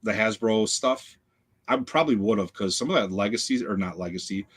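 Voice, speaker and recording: A man's voice: fairly low pitch, brisk, a bit bright, husky. A middle-aged man hosting a casual video chat from his home collection room, rambling easily and trailing off with "so". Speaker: podcast host.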